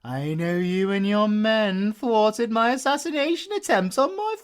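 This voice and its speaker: A British man playing a flamboyant, sadistic werewolf villain in an audio roleplay, theatrical and mocking, drawling with long pauses. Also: high-pitched, lively intonation. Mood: menacing, gloating.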